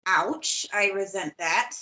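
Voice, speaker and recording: A woman's voice: mid-range voice, slow, sharp tone. A woman playing an exasperated but caring young friend, indignant and earnest, in a stage play table read. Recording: dull, muffled recording.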